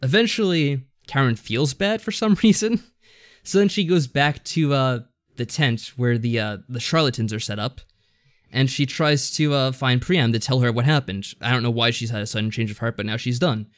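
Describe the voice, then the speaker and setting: A man with a mid-pitched voice and a dry, close sound talks as a podcast co-host. He recounts a story casually, in a rambling and unsure way, often backing up to correct himself. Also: normal speed, dry recording. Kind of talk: casual chat recapping a visual novel story.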